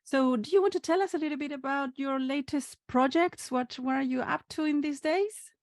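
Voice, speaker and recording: A woman hosting a podcast, introducing her guest and asking questions in a measured way with frequent restarts. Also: high voice, darkish tone, no room sound, studio quality. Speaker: podcast host.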